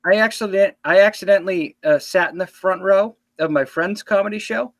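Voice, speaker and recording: A man's voice: sits high, brisk, no echo. A man in his late thirties hosting a casual livestream chat, joking and self-deprecating. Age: 30s. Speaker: livestream show host.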